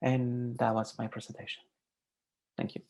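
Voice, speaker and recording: A man's voice: mid-range voice, level tone, normal speed, a little mellow. A man closing his presentation in a reflective, heartfelt way.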